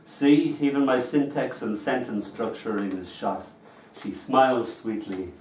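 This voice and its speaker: A man's voice: medium pitch, unhurried, darkish tone, slight background noise. An Irish man giving a live poetry reading, telling wry, chatty stories between poems. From Ireland. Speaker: poet. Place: small live performance venue. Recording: echoey room picked up from a distance.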